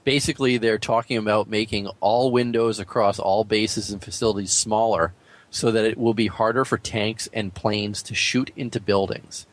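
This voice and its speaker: A man's voice: lowish voice, fairly even intonation, moderate pace, no echo. A man co-hosting a gaming podcast, running through news items in a casual, conversational way. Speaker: podcast co-host. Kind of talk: casual news rundown and discussion.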